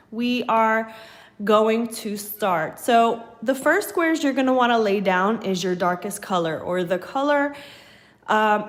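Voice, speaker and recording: A sniffly young woman, still getting over a cold, walks viewers through a craft step by step. She speaks slowly and chattily, talking to her audience as she works. Recorded on a phone held above her craft table at home. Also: mid-range voice. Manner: slow, chatty, talks to viewers while working. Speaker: craft channel host. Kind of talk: live craft tutorial, casual.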